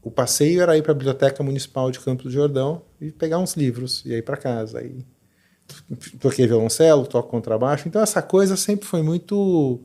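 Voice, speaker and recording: A middle-aged man from Brazil, a podcast guest thinking aloud in relaxed interview talk with many restarts. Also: medium pitch, fairly quick. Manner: reflective, lots of fillers and restarts. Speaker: podcast guest, learning specialist. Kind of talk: conversational interview.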